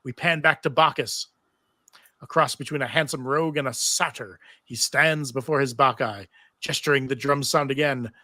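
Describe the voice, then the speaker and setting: A man's voice: medium pitch, normal speed, pushed and bright, dry recording. A man reading stage directions as narrator in a live table read.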